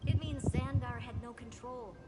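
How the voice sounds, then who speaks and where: A woman playing a sharp, confident young female analyst in scripted game dialogue, reasoning in an assertive, deliberate way. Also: fairly high pitch, fairly even intonation, natural voice. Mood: confident, probing. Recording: loud background music. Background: game music.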